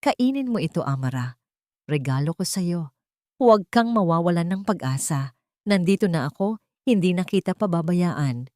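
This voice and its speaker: A woman's voice: fairly low pitch, animated, normal speed, darkish tone, no room sound, clean studio sound, lightly acted. A woman voicing an earnest young male lawyer in an audio story, urgent and resolute as he protects a childhood friend. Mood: resolute, protective.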